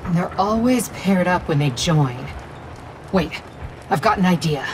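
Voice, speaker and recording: A woman acting a curt, guarded young vigilante in a video game, with loud music behind her. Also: on the low side, expressive, measured pace, noisy background. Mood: dry, focused. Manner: curt, dry.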